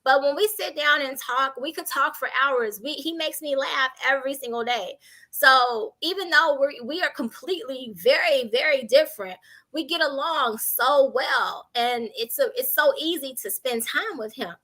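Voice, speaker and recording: An American woman giving candid conversational advice on a panel, animated and informal, illustrating points with anecdotes. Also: sits high, normal speed, poor audio. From Chicago, United States.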